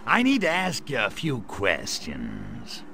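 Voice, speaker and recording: A man voicing a sarcastic gray alien invader in a video game cutscene, delivering deadpan quips. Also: lowish voice, slow, vocal fry, a little hiss or hum, exaggerated voice. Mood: sardonic.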